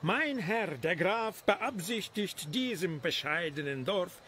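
A man's voice: high voice, lively intonation, slow pace, pushed and bright, slight background noise, exaggerated voice. A man acting a haughty count's servant in an audio drama, with pompous, stilted courtesy. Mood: pompous, formal.

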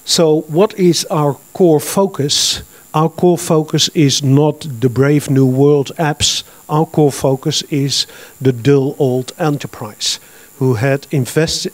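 A man in his fifties with a Dutch accent, giving a business presentation at a conference through a handheld microphone, talking plainly and filling pauses with uh and um. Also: mid-range voice. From Netherlands. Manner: plain, matter-of-fact, with frequent uh and um. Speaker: conference speaker. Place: large conference hall with audience.

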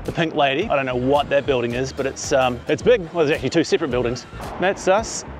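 A man's voice: medium pitch, fast. Chatty Kiwi man with music behind. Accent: New Zealand. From New Zealand. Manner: enthusiastic, chatty, exclaims over food. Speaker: food vlogger. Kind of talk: casual food and travel vlog. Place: rooftop bars, outdoors and under cover.

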